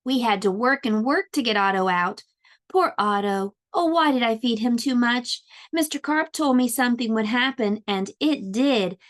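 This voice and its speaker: A woman reads a storybook to young children in a fairly high voice. Her narration is expressive and deliberate, stretching key words for drama, the way a preschool teacher would. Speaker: pre-K teacher reading a storybook aloud.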